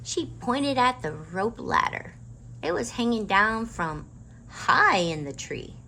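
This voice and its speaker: A woman's voice: on the high side, big pitch swings, slow, gravelly, bright, forceful tone, a little hiss or hum. A woman hosting a children's storytime and reading a chapter book aloud. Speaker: storytime host and reader.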